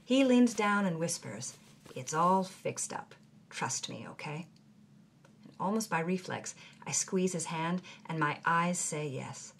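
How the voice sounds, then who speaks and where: A woman giving a theatrical, scripted narration of a memoir, dropping to a breathy hush in quiet moments. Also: lowish voice, expressive, measured pace, a little hiss or hum. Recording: music bed under the voice.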